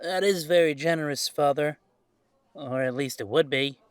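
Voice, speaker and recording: A man playing an earnest young adult werewolf son in an audio drama, halting but indignant as he stands up for himself. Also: on the high side, no echo, lightly acted. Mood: firm defiance. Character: defiant grown werewolf son, earnest and protective. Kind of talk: scripted audio drama acting.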